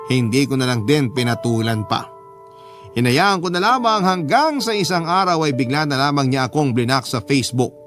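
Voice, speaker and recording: A man with a Filipino accent narrating a first-person dramatic story as a radio drama narrator. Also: on the high side. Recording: music behind the voice. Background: background music.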